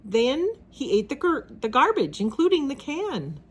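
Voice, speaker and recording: A woman reading a children's storybook aloud in a dramatic, expressive narrating style. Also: high-pitched, unhurried.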